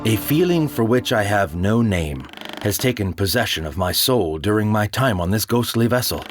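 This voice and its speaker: A man gives a measured, solemn first-person reading of a period sea tale in a one-man audio drama. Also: lowish voice, lively intonation. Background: music.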